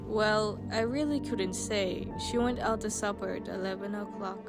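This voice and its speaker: A woman's voice: mid-range voice, normal speed, natural voice. A young woman in an amateur radio play, acting a polite, unhelpful hospital receptionist with a formal, composed manner. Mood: polite, detached. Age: young adult. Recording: music clearly behind voice.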